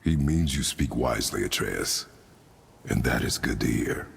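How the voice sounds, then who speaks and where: An actor playing a stern, terse warrior father in a video game, with a gravelly voice and grave, commanding delivery. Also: animated, moderate pace, exaggerated voice. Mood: solemn. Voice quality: very deep, gravelly. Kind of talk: video game character acting. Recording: loud rumbling game background noise.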